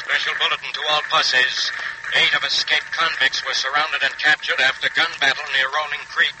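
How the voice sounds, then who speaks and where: An actor playing a police dispatcher, reading clipped, urgent official manhunt bulletins in a 1940s radio crime drama. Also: male voice, a little high, level tone, moderate pace, natural voice. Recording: faintly noisy 1940s radio recording.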